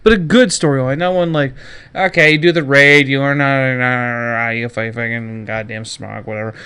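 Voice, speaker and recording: A man's voice: mid-range voice, steady tone, normal speed, bright, forceful tone, smooth. Casual, opinionated male gaming podcaster. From Canada. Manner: opinionated, sweary, mocking asides. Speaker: podcast host. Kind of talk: casual gaming news commentary, monologue.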